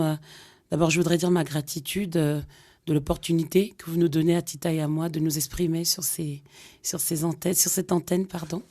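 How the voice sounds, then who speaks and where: A woman's voice: lowish voice, mellow. A middle-aged woman from Cameroon, a singer being interviewed on radio, speaking hesitantly with many fillers. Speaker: guest singer. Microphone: studio microphone.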